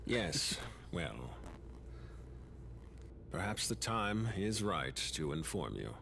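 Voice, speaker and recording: An actor playing a calm, formal male official in a game, speaking in a measured, composed way. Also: low voice, creaky, slight background noise, heavily acted. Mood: grave.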